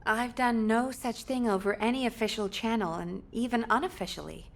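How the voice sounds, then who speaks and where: An actress playing a composed, businesslike military operator in a video game, formal and precise. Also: female voice, fairly high pitch, normal speed, close to an everyday voice. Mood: serious, concerned.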